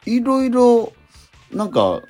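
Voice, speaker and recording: A middle-aged man hosting a radio show in casual, animated banter. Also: very high voice, a little slow, soft background music. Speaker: radio show host and stage actor.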